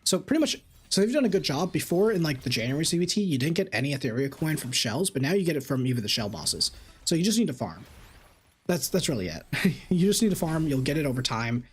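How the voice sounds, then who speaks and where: A man live-streaming a game, reading chat questions aloud and answering them in an animated way. His voice is slightly raspy. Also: fairly high pitch, fast.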